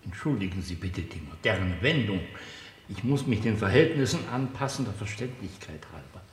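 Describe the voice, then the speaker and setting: An actor playing a wordy, deferential church minister in a satirical German radio play, pompous, measured and preachy. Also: male voice, fairly low pitch, animated, reverberant, natural voice. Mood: unctuous, apologetic.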